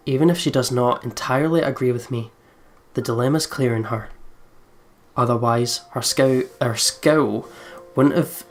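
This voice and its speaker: A man's voice: mid-range voice, a bit bright. A man hosting a let's play, reading the story aloud expressively and commenting casually.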